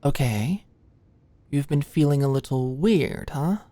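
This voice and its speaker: A low-pitched young female medical student, acted by a woman, recorded close and dry. She is anxious and friendly, talking in a hesitant, conversational ASMR roleplay style and easily flustered around the person she secretly likes. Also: expressive, measured pace, mellow, no room sound. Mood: friendly, professional. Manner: hesitant, chatty, nervous, conversational.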